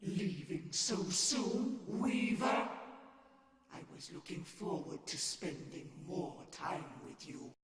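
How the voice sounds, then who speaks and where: A man's voice: high-pitched, big pitch swings, takes it slowly, distant mic, heavily acted. A man playing a menacing, grandiose undead lord of chaos in a video game, imperious, taunting and scornful. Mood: mock sweet. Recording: roomy echo from afar, loud music.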